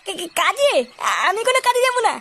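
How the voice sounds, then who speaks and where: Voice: extremely high pitch, animated, quick pace, bright, forceful tone, heavily acted. An actor voicing a cheeky, foul-mouthed young cartoon fellow in crude comic dialogue. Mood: defensive. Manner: crude, swearing, teasing.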